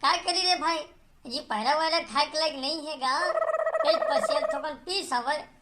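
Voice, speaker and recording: A man playing a worried young villager in a cartoon, with a Chhattisgarhi accent, a pleading rustic manner and a pitched-up voice. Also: sing-song, measured pace, very noisy, heavily acted. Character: worried village youth tending hungry calves. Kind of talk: cartoon comedy dialogue.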